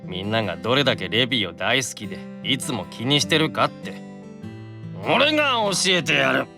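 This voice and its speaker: An actor playing a tipsy, affectionate young demon brother in a Japanese audio drama, earnest and insistent with childlike drunken slurring. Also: male voice, medium pitch, very animated, moderate pace, gravelly, music in the background. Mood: drunkenly affectionate.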